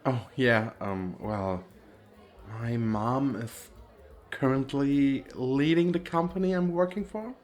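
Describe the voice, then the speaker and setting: A man's voice: mid-range voice, takes it slowly, a little mellow, voice pushed up, close to an everyday voice. A man playing a nervous, bashful, well-off young businessman on a date in an ASMR roleplay, with hesitant, stammering speech. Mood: flustered, defensive. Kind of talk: ASMR roleplay, one-sided conversation with the listener.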